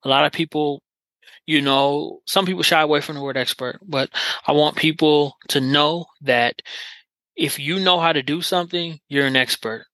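A man's voice: fairly high pitch, normal speed, sharp tone, no room sound, rough recording. A man hosting a podcast, talking in an earnest, encouraging way with lots of filler phrases. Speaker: podcast host. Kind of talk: casual interview chat.

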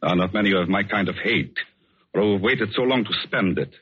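An actor playing a vengeful, obsessed painter feigning tenderness, in measured, hesitant 1940s radio suspense style. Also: male voice, low voice, husky. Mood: cold hatred. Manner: measured, theatrical, hesitant pauses. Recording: dull old radio recording, music behind.

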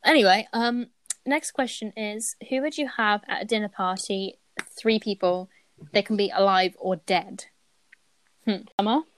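A young woman hosts a casual chat with friends. Her pitch is average for a woman, and her speech is informal and slangy, recorded close and dry with no room sound. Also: steady tone, a little slow, no echo. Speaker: podcast host reading out questions.

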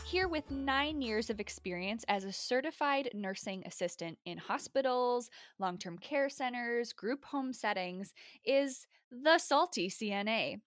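A woman's voice: fairly high pitch, animated, a little slow, fairly bright tone, no room sound, faint music behind. A woman from the US Midwest hosting a podcast, talking casually with lots of "like" and fillers. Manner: chatty, filler-heavy with frequent "like". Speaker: podcast host. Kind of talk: casual interview chat.

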